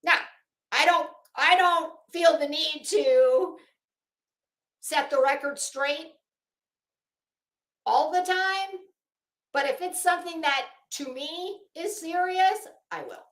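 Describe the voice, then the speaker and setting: Slow-paced woman livestreamer in an echoey room. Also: extremely high pitch, fairly bright tone. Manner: rambling, opinionated, emphatic. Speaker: livestream host. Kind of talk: casual livestream chat with viewers.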